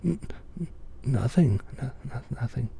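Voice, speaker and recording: A man voicing a weary, self-pitying old peasant in a storybook fable, soft, slow and halting. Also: medium pitch, animated, vocal fry, breathy edge, voice pushed up, heavily acted. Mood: frightened, stammering.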